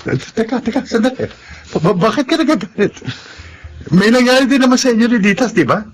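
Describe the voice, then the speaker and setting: A man's voice: very high-pitched, expressive, brisk, faint music behind. An actor playing an easygoing young man devoted to his girlfriend, in a conversational radio drama style. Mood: defensive.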